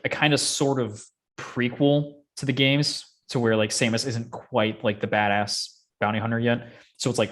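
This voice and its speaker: A man's voice: lowish voice, fairly bright tone. A man hosting a casual chat, talking in a slangy, opinionated and rambling way. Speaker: podcast host. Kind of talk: casual chat about games and films.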